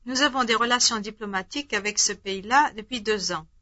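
A woman giving the model answers in a language drill, measured and carefully articulated. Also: fairly high pitch, sharp tone. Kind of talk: reading drill responses. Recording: dull lo-fi tape recording.

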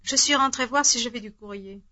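A woman giving the model answers in a language drill, measured and carefully articulated. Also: on the high side, pushed and bright. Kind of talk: reading drill responses. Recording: dull lo-fi tape recording.

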